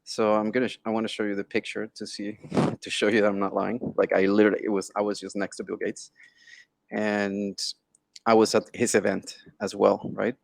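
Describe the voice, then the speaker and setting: A man's voice: on the low side, flat delivery, fairly quick, vocal fry, no room sound. A man being interviewed on a video call, talking casually and often checking in with little tag questions. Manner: informal, often checking with "right?" and "you know?". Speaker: interview guest, tech entrepreneur.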